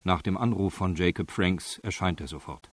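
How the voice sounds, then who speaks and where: A man reading scripted narration for a radio drama in a factual, measured way. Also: low voice, breathy edge, dry recording.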